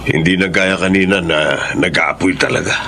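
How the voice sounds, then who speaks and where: An actor playing a gentle, middle-aged Filipino husband and father in a radio drama, fond and expressive in manner. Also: sits low, fairly quick, a little hiss or hum. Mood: fond, easygoing.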